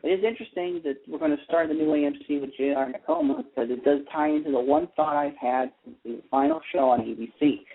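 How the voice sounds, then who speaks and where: A man's voice: a little high, fast, few pauses, slightly rough. A man hosting a casual radio talk show about TV, chatty and opinionated as he explains his views. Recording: tinny phone-like internet radio line.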